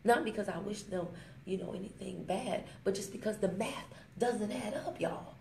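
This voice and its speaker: A woman giving a motivational vlog talk on her smartphone, emphatic and preachy, slowing down to stress her points and fond of little rhymes. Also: medium pitch, vocal fry, darkish tone. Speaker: network marketing vlogger. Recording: echoey room with faint ambient noise.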